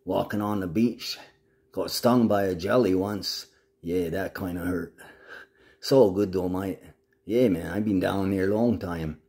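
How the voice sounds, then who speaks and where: A man's voice: low voice, unhurried, darkish tone. A man talking casually to the camera in a rambling, agitated monologue full of swearing.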